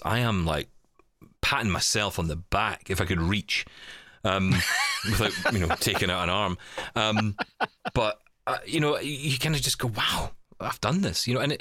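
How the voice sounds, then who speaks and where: A man hosting a podcast, talking in a casual, chatty and rambling way with animated storytelling. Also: lowish voice, no room sound, studio quality. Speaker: podcast host and producer.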